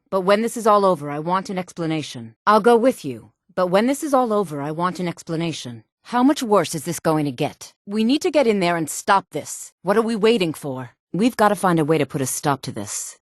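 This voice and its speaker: An actress playing a sultry, composed female mage in a fantasy video game, speaking in formal, deliberate phrases. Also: low-pitched, a little mellow, no echo, clean studio sound. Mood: urgent, serious. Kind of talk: scripted fantasy game dialogue.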